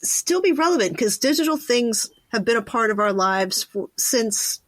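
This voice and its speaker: A woman's voice: fairly high pitch, expressive, moderate pace, a bit bright, dry recording. An American woman hosting a casual interview podcast in a playful, chatty manner.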